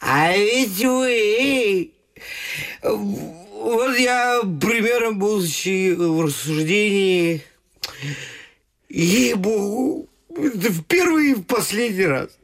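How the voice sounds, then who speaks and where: A tipsy, servile manservant from a period radio comedy. He drags his slurred words in a high, creaky voice, halting and repeating himself fearfully, with faint music behind. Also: expressive, a bit bright, full character voice. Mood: rambling. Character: drunken, servile, fearful old-time Russian manservant. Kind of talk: theatrical radio comedy acting.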